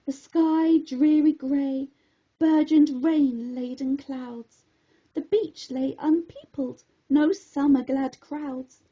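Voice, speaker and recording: A woman reading a rhyming children's bedtime story aloud in a theatrical, expressive way. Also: high-pitched, takes it slowly, highs cut off.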